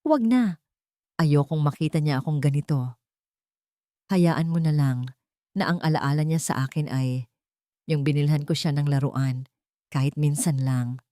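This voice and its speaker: A woman's voice: sits low, measured pace, long pauses, mellow, dry recording, studio quality. A woman voicing a humbled, remorseful male prisoner in a story, weak and pleading. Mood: ashamed.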